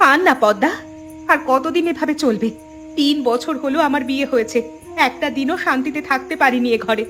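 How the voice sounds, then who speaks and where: A woman's voice: high voice, a little fast, fairly bright tone, soft background music. A woman voicing a determined, fed-up village daughter-in-law in a cartoon, speaking in an earnest, resolute way. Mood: weary resolve. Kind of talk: cartoon voice acting.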